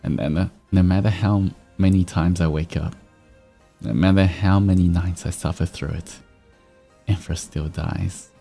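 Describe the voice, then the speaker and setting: Male streamer, very low register, slow unhurried delivery, reading stories and chatting to viewers. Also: fairly even intonation, creaky. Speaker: VTuber streamer host. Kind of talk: livestream fan-fiction reading with casual chat. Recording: soft music behind. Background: background music.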